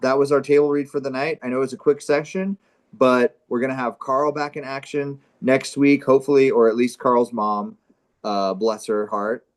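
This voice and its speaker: A man's voice: mid-range voice, level tone, normal speed. A man hosting a comedy table read, narrating stage directions and chatting casually. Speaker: comedy show host and script narrator.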